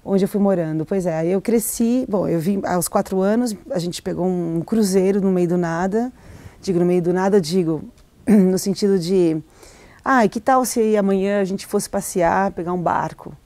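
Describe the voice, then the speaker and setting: A middle-aged woman from Argentina talks through her memories in an interview, pausing, restarting and often laughing lightly. Her voice is mellow and soft. The sound is close and dry, with no room echo. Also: medium pitch. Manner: hesitant, many restarts and fillers, laughs often. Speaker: novelist, interview guest. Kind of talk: reflective interview answers.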